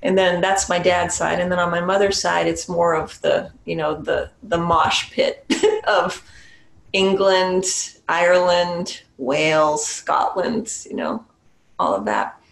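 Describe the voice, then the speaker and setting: A woman's voice: on the low side, steady tone, creaky. Reflective woman on an echoey video call. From New Hampshire, USA. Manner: thoughtful, unhurried, with small restarts and soft laughs. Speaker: Zen priest and meditation teacher. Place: small home room with a shrine. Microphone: webcam on a video call.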